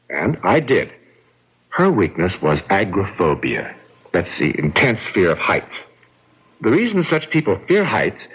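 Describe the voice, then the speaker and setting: A dull, lo-fi radio mystery recording carries a suave, cold-blooded killer. His deep voice swoops theatrically as he gloats and taunts with smug relish. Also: slightly rough. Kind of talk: radio drama acting. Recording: dull, band-limited old radio recording.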